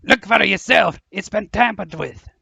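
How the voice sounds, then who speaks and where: Man acting a gravelly, excitable gruff giant, high-pitched. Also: measured pace, no echo, exaggerated voice. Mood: alarmed excitement. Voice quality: gravelly, husky.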